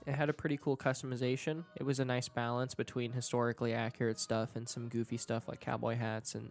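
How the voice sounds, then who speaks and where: A man's voice: medium pitch, darkish tone. A young man with a stuffy nose from allergies, giving a casual, candid rant about a video game and swearing freely. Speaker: gaming YouTuber.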